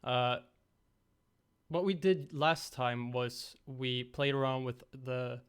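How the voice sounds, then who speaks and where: A young man hosting a casual game-creation livestream from home on a headset, slightly raspy after being ill. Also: mid-range voice, takes it slowly. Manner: relaxed, rambling, talks to chat.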